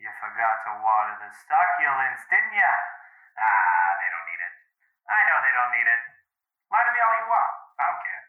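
A roomy recording of a teasing, swaggering boyfriend played by an actor in an audio drama. His pitch swings freely as he banters, cocky and affectionate. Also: very animated, moderate pace, phone-quality sound, close to an everyday voice. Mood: playful, teasing. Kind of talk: naturalistic audio drama dialogue.

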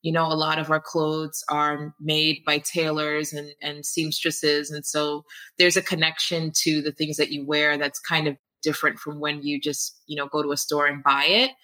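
A woman's voice: low-pitched, little pitch movement, moderate pace, sharp tone. A woman from Queens, New York, chatting as a guest author, friendly and laughing easily, with many ums and restarts. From Queens, New York, United States. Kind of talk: conversational interview.

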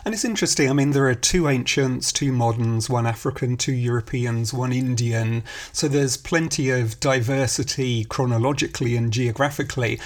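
Man asking a measured interview question. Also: mid-range voice. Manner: measured, enumerating points.

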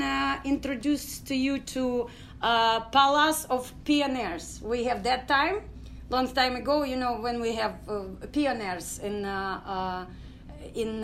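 Ukrainian woman, middle-aged, high voice, pauses and fillers, faint background noise. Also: gravelly, fairly bright tone. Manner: halting non-native English with many fillers. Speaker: guest, dance company founder. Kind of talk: storytelling interview.

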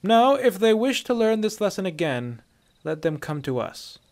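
A man's voice: high voice, very animated, normal speed, darkish tone, voice pushed up. A man voicing a stern, unyielding Imperial commander in a fantasy story, curt and firm. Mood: stern, commanding.

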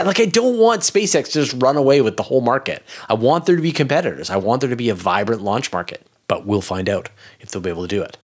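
Low-voiced man explaining things casually. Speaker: space expert guest.

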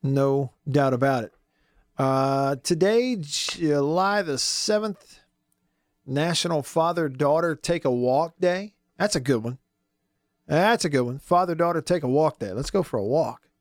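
A man hosting a sports radio talk show from a studio, hoarse today, chatty and jokey. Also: a little high, expressive, unhurried, dry recording. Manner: chatty, jokey, lots of fillers.

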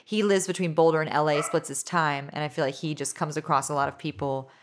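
A woman in her thirties, a musician being interviewed, talking in a loose, rambling way with many fillers like "you know" and "like"; she has a low voice. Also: fairly even intonation, fast talker, a little hiss or hum. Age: 30s. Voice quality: low singing voice. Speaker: musician guest. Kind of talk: casual interview chat.

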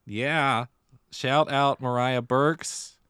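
A man's voice: mid-range voice, fairly even intonation, extremely slow, a bit bright, clean tone, no room sound. An American man co-hosting a podcast, chatting casually with a wry, dry sense of humor. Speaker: podcast co-host. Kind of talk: casual theatre news chat.

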